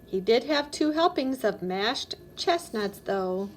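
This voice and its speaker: A woman reads a children's story aloud in a high voice with lots of melodic movement. Her delivery is expressive storybook narration, recorded with faint noise in the background. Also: slow. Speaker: storybook reader.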